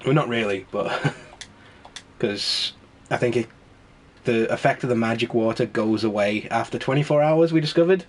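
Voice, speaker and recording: A man talks through a video game in a loose, rambling way, wry and quick to laugh. He sounds snuffly from a cold. His voice sits at an ordinary middle pitch. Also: slight background noise. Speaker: let's play host. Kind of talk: casual gameplay commentary.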